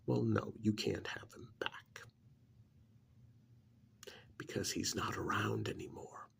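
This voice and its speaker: A man voicing an ancient, contemptuous creature in a stolen human body, in a menacing, breathy, gravelly ASMR roleplay monologue. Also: on the low side, slow pace, exaggerated voice. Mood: smug, taunting. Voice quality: gravelly, husky, breathy half-whisper. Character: ancient disdainful male creature possessing a dead man's body.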